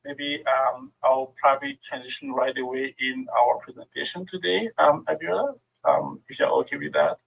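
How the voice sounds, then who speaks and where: A man's voice: mid-range voice, very animated, moderate pace, vocal fry, few pauses, fairly bright tone, husky, dull audio. A middle-aged man with a French accent and French as his first language, giving a friendly workshop presentation over a video call with many fillers. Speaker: guest speaker.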